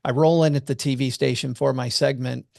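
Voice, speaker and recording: A man telling a personal story as a podcast guest, earnest and animated. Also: mid-range voice, fairly quick, creaky, no echo, clean studio sound. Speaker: podcast guest, money commentator. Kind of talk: casual storytelling chat.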